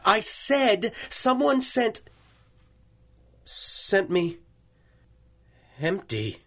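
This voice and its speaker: A man's voice: high-pitched, sing-song, extremely slow, fairly bright tone, exaggerated voice. A stubborn judge from an old radio serial. He talks in a brusque, dryly sardonic way, with the clipped theatrical style of 1930s radio. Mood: puzzled. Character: gruff, wry, stubborn male judge in 1930s radio drama.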